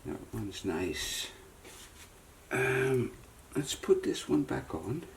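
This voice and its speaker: Slow-paced man thinking aloud over repair work. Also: medium pitch, animated, gravelly, a little hiss or hum. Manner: thinks aloud while working, talking to viewers. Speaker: repair video presenter. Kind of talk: casual hands-on tutorial commentary.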